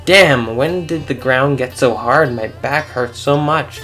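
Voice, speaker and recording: A fan reader voicing a fierce young cat-like woman in a story reading, snarling, shouting and sobbing her lines high above their own voice. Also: takes it slowly, faint music behind.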